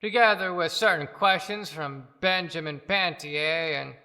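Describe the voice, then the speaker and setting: Slow, resentful woman as an overlooked husband. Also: low-pitched, sharp tone, heavily acted. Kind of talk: theatrical dramatic monologue. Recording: long hall reverb.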